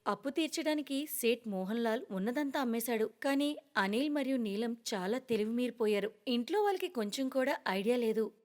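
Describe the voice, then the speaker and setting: A woman's voice: fairly high pitch, animated, fairly quick, a little hiss or hum. A woman reading scripted narration for a cartoon moral story.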